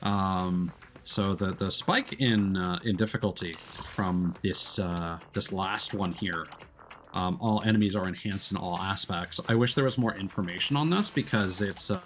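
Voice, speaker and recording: A man's voice: deep voice, normal speed, fairly bright tone, slight background noise. A man giving casual live commentary on a video game, thinking aloud in a hesitant, rambling, self-deprecating way. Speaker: livestreamer playing a video game. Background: game music.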